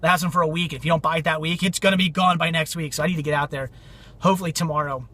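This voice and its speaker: A man's voice: a little high, steady tone, rapid-fire, fairly bright tone. A man casually showing off his thrift store finds in a rambling, enthusiastic way, repeating himself often, while losing his voice from a cold. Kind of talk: casual vlog chat.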